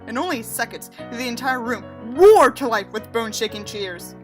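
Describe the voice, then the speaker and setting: A woman's voice: a little high, expressive, normal speed, soft background music. A woman reading a book aloud and adding sarcastic, exasperated, emphatic asides of her own. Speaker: audiobook reader and commentator.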